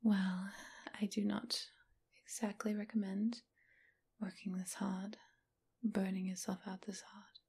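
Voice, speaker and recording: Slow, whispering vampire mistress, acted by a woman. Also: mid-range voice, little pitch movement, mellow, full character voice. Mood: gently caring. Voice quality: breathy whisper. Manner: slow, teasing, affectionate purr. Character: teasing, doting, seductive female vampire mistress. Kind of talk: whispered ASMR roleplay.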